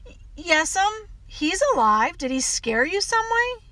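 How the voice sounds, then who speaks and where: Storybook part: gallant little country boy, voiced by a woman, Southern accent, high, earnest, well-mannered. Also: sing-song, slow pace, bright, forceful tone, no room sound, full character voice. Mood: gentle. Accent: American South. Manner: polite, eager, reassuring.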